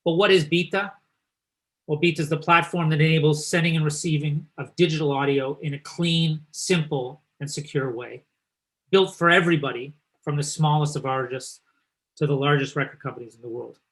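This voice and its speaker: A chatty, upbeat man with a Canadian accent hosts a live online session, speaking in a voice pitched a little higher than most men's. He sounds like he's talking over a video call. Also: a little fast, fairly bright tone. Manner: chatty and upbeat, teasing his guest. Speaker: host and company founder. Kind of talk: live online talk and presentation.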